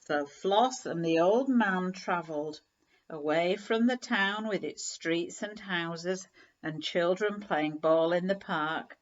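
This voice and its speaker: A woman reading a children's story aloud in an animated, measured storytelling manner. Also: fairly low pitch. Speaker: story reader. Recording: slightly dull, lo-fi recording.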